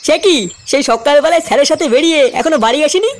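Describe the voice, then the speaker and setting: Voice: high-pitched, lively intonation, very fast, noisy background. A performer voicing a cheeky, gossipy cartoon villager with a stammering, chattery delivery and a Bengali accent. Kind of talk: Bengali comedy cartoon dubbing.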